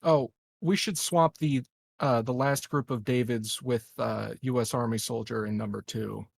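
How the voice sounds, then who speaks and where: A man's voice: mid-range voice, fairly even intonation, normal speed, creaky, no room sound. A man playing a tabletop roleplaying game, chatting casually with a joking manner.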